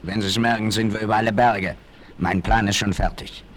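Man playing deep-voiced, scheming outlaw boss. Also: fairly even intonation, normal speed, lightly acted. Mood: conspiratorial. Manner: conspiratorial, commanding. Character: scheming, bossy male outlaw gang leader. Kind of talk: 1960s German radio play acting. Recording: noisy 1960s vinyl record rip.

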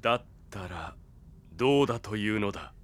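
An actor playing a smug, sinister young henchman in an audio drama, taunting with deliberate theatrical delivery. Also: on the low side, lively intonation, close to an everyday voice. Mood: smug, threatening. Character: smug, sinister young male villain, coldly loyal henchman.

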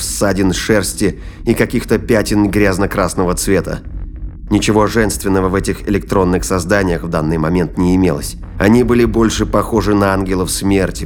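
A man's voice: low voice, a little hiss or hum. A man narrating a science-fiction thriller audio play as scripted storytelling.

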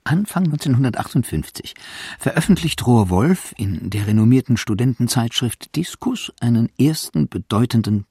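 A man's voice: mid-range voice, vocal fry, dark tone, slightly rough, no room sound, clean studio sound. A man narrating a radio documentary from a script.

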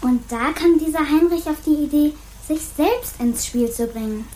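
A woman's voice: high-pitched, normal speed, warm tone, lightly acted. A woman playing a smart, teasing young girl in a radio play. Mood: pert, curious. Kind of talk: German radio play acting.

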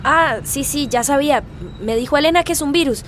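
Woman acting worried but calming neighbor lady, high voice, radio soap, slight car rumble. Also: brisk, natural voice. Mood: worried, reassuring.

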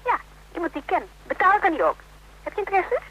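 High, fast-talking Dutch woman on the phone. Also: very animated, bright, forceful tone, slight background noise, full character voice, poor audio. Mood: breezy. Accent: Netherlands Dutch. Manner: chatty, coaxing. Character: pushy, chatty woman friend, breezy and coaxing. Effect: telephone voice. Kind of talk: radio drama phone dialogue.

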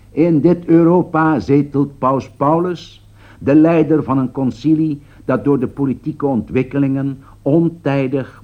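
A grave political commentator in a radio play, addresses his listeners slowly and deliberately. His voice sits at a middle pitch and his phrasing is solemn and rhetorical. The recording is dull and lo-fi. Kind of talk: scripted radio drama commentary.

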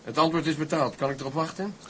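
A polite hotel messenger in a period radio play. He talks quickly in a fairly high voice. Faint background noise is audible. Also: pushed and bright. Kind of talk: Dutch period radio drama acting.

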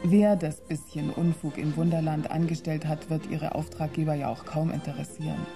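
A defiant, frustrated woman in a sci-fi radio play. Her voice is fairly low with a creaky edge. She speaks pointedly and confidingly, over clear music. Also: steady tone, moderate pace. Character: bitter, defiant, conspiratorial female theme-park employee who hates computers. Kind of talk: German radio play acting.

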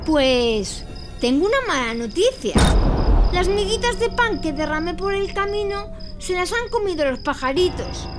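Woman acting clever brave little boy, children's radio tale, piping high voice, exaggerated delivery, loud music bed. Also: lively intonation, unhurried. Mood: dismayed.

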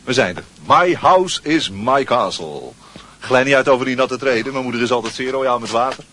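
A man's voice: medium pitch, lively intonation, moderate pace, fairly bright tone, slight background noise, lightly acted. Cocky, swooping young man, acted by a man. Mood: breezy, posing. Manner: affected, nonchalant. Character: cocky, evasive, worldly-posing teenage male school friend. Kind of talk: radio drama acting.

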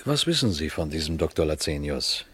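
An actor playing a sober, watchful police detective, telling his case in a measured, formal radio crime drama style. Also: extremely low pitch, very animated, fairly bright tone, slightly rough, exaggerated voice. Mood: formal, probing. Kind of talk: radio crime drama, suspenseful first-person narration and dialogue.

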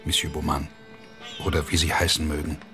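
A curt, evasive businessman in a radio crime drama. The voice is exceptionally low. He is formal and coolly dismissive, with clear music underneath. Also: completely flat delivery, brisk.

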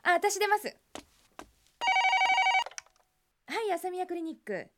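A woman's voice: extremely high pitch, sing-song, fairly quick, slight background noise. A woman playing a perky, playfully pouty young clinic receptionist with a girlish manner in a Japanese radio drama. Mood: dutiful.

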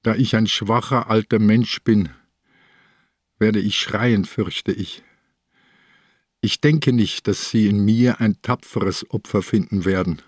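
An old, sick police commissioner held captive in a crime radio play. His voice is on the low side and weary, his answers short and dryly defiant. The recording is dry and intimate. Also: fairly even intonation, slow, breathy edge, no room sound. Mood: resigned. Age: older. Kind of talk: German radio crime drama acting.

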